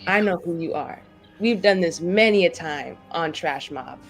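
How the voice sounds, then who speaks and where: A woman's voice: lowish voice, animated, measured pace, loud music behind the voice. A woman talking casually and playfully as a player on a tabletop roleplay show, with a theatrical, wordy, mock-pleading manner. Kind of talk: casual, playful group chat.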